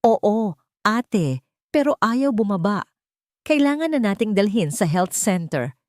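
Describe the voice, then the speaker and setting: A woman's voice: medium pitch, very animated, measured pace, no echo, studio quality, pitched above the performer's own voice. A woman with a Filipino accent voicing a worried sixteen-year-old younger sibling in a story, anxious and urgent.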